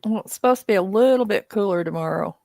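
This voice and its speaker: A woman's voice: mid-range voice, fast talker, vocal fry, darkish tone, no echo, clean studio sound. A woman playing cards with friends on a call, making brief easygoing remarks. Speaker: fellow player on a call. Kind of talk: casual chat while gaming.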